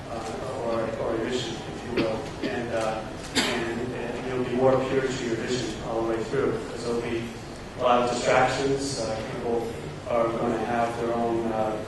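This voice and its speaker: A man giving unscripted advice as a conference panelist, in halting phrases with repeated words. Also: mid-range voice. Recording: roomy, distant mic, noisy hall.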